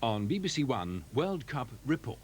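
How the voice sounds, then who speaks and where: A man's voice: medium pitch, sing-song, normal speed, slight background noise. A man making scripted TV continuity announcements in a formal, carefully phrased manner. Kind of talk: scripted weather and programme announcements.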